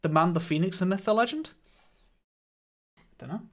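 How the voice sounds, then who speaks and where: A man speaking into a studio microphone as he streams a game, his voice sitting a touch higher than most men's. He chats casually with viewers, thanks them warmly and laughs easily, and his voice sounds slightly croaky. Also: normal speed. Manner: chatty, laughs often, greets and thanks viewers.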